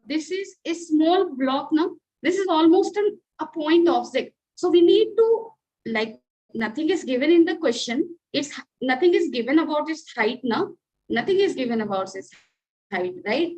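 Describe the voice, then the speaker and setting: A woman's voice: high-pitched, darkish tone, low-quality recording. A young Indian woman teaching physics in an online video class, mixing English and Hindi and often asking "right?" to check understanding. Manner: switches between English and Hindi, asks checking questions.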